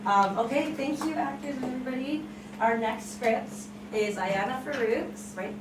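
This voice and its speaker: A woman's voice: high voice, moderate pace. A woman hosting a table read, announcing casually and off the cuff. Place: room with a fan running. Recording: distant echoey room, fan noise.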